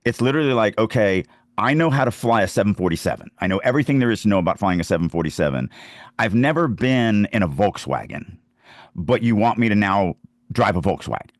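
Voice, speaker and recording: A man's voice: on the low side, animated, quick pace, no room sound, clean studio sound. A man hosting a casual podcast chat about writing, speaking emphatically and acting out examples to make his points. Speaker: podcast host and writing coach.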